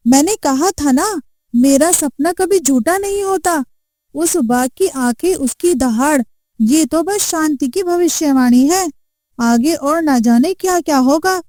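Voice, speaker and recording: A woman's voice: high voice, mellow, dry recording, studio quality. A woman playing a superstitious, foreboding village woman in a cartoon moral story, with a dramatic, insistent manner. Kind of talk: Hindi animated moral story acting.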